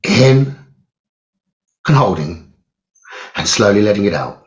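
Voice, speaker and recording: Very slow male meditation guide, roomy echo. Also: fairly low pitch, very animated. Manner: soothing, measured, with long pauses. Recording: roomy echo, dull lo-fi sound.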